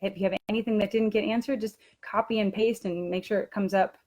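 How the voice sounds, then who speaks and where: A woman's voice: medium pitch, steady tone, fast, darkish tone. A woman talking casually as she presents a webinar for language teachers.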